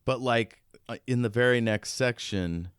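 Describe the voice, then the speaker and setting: A man's voice: mid-range voice, measured pace, clear, resonant tone, no echo, clean studio sound. A man co-hosting a podcast, casually discussing a book in an informal, musing way. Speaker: podcast co-host.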